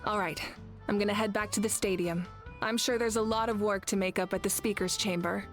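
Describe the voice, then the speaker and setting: A woman playing a composed, gracious young female leader in a video game, speaking in a measured, articulate way. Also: mid-range voice, fairly even intonation, over music, lightly acted. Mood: gracious, relaxed.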